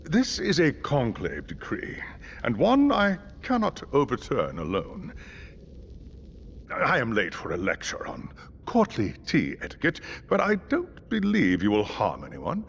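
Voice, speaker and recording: An actor playing a fussy, courteous maester in a video game, speaking formally in a creaky voice. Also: male voice, mid-range voice, huge pitch swings, heavily acted. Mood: politely fussy. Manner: fussy, formal, measured politeness. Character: fussy, courteous older maester, a male scholar. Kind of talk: video game cutscene acting. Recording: dull audio with faint ambient noise.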